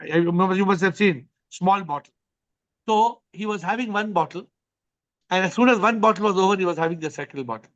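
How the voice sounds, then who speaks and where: A man's voice: high voice, fairly bright tone, highs cut off. A man hosting an online spiritual question session, probing with repeated questions and telling anecdotes.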